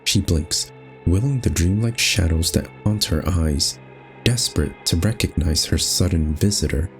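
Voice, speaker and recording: A very low-pitched male voice reads a horror game story aloud with measured, dramatic phrasing. He hosts the playthrough as narrator, with soft music lying underneath. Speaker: host reading a visual novel aloud. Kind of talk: expressive scripted story narration.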